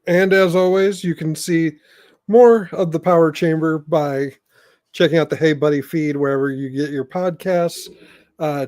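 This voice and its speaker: Slightly high-voiced man hosting a podcast. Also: a little mellow, no echo, clean studio sound. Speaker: podcast host. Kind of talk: casual sign-off and chat.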